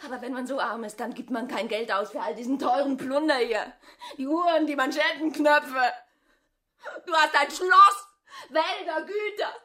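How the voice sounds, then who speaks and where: An actress in a radio play voicing a desperate, ruined married woman pleading with her former lover, emotional and often breathy to a whisper. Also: high voice, moderate pace, bright, forceful tone. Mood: anguished, accusing. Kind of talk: radio drama acting.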